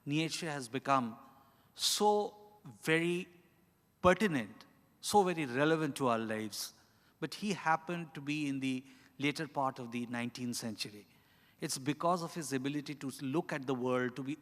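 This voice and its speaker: A middle-aged man with an Indian accent, an author giving reflective, deliberate, halting answers with emphasis on key words. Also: a little high, a bit bright. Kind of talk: reflective spoken answer at a live literary event. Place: large indoor event hall. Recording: echoey hall with long reverb.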